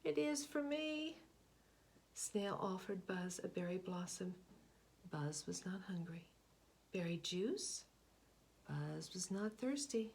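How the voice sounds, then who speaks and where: A woman reading a picture book aloud, expressive, often dropping to a hushed whisper. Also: on the low side, gravelly, slight background noise. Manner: expressive, slow, hushed asides. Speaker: storybook reader.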